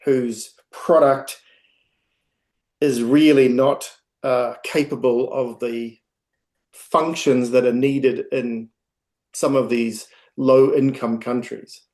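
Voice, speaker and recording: Slow, hesitant New Zealand man. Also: mid-range voice, steady tone, darkish tone. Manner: hesitant, many ums and restarts. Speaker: guest speaker, project founder. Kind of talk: informal presentation on a video call. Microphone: video call.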